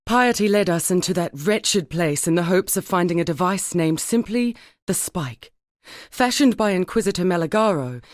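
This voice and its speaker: Fantasy game NPC: actress as earnest female turncoat soldier, embittered, formal storyteller's delivery, slightly low pitch, very dry studio sound. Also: no room sound, close to an everyday voice. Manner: articulate, grave, formal storytelling. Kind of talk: dramatic fantasy game dialogue.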